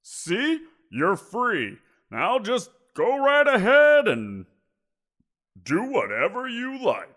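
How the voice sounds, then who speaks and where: A man performs a greedy giant creature who toys with his captive, his voice climbing and swooping with mocking amusement. He lingers over his words, slow and deliberate, smug in his power. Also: sits high, wildly swooping pitch, a bit bright, full character voice. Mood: teasing. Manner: playful, teasing, drawn-out. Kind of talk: audio roleplay.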